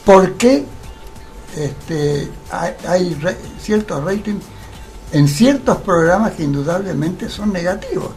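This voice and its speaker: A man's voice: high-pitched, animated, slow, darkish tone, faint music behind. An older man with a Rioplatense Argentine accent, speaking emphatically as a guest in a radio interview and posing rhetorical questions. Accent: Rioplatense Argentine Spanish. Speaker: interview guest, theatre actor. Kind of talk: radio interview, opinionated conversation.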